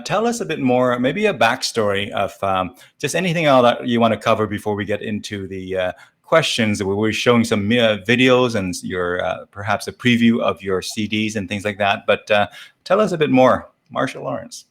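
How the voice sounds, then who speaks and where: A man hosting a casual interview, speaking politely in halting phrases as he leads the guest along. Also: medium pitch, vocal fry. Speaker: host.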